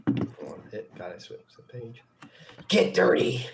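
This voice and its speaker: Creaky, flustered amateur performer. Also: mid-range voice, sing-song, slow pace, very noisy. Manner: flustered, apologetic. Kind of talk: casual chat between lines of a live dub.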